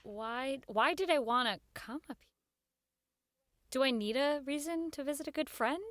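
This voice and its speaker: A woman's voice: high voice, moderate pace, fairly bright tone, a little hiss or hum. An actress playing an earnest, nervous woman who works in network radio, in radio drama dialogue and narration. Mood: friendly, hesitant.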